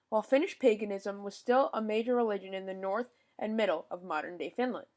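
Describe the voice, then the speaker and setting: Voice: medium pitch, fairly bright tone. A young podcast host giving a scripted informational rundown, now and then stumbling over foreign names and apologizing.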